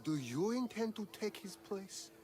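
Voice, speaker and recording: Smug, high-voiced military commander. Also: male voice, very animated, unhurried. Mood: smug, businesslike. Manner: mocking, commanding. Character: smug, sardonic ancient Greek military commander, gambling creditor. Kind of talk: video game dialogue acting.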